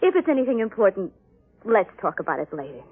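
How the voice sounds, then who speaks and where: Cooing, devoted wife, old radio. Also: female voice, medium pitch, big pitch swings, fast talker, slightly rough, soft background music. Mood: soothing, evasive. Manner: soothing, cooing, attentive. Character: sweet, soothing, devoted wife, secretly a robot. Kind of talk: 1950s radio acting. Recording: narrow-band vintage radio recording.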